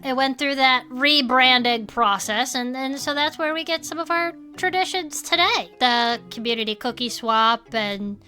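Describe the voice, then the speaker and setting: A hopeful cryptid believer co-hosting a podcast in an audio comedy. The voice is fairly high and bright, with chatty delivery over faint music. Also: female voice, moderate pace, hardly any pauses. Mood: explanatory. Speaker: podcast co-host character. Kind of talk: scripted audio comedy dialogue, chatty banter.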